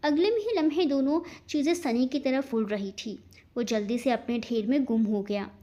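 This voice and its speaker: High-voiced woman narrating a dramatic story. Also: normal speed, dark tone, muffled recording.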